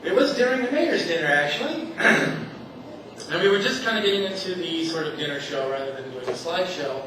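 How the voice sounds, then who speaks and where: A man's voice: a little high, lively intonation, a little fast. A man telling rambling, self-deprecating stories to a live audience from a stage, laughing at his own jokes. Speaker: stage storyteller at a community event. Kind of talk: casual stage storytelling. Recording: echoey hall with noise from far off.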